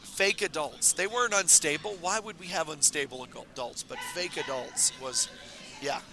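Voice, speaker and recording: A man commentating a curling tournament stream in a casual, rambling way, his voice raspy and hoarse from talking all weekend. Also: a little high, moderate pace. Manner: relaxed, rambling, many fillers. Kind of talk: casual live sports commentary. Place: curling club.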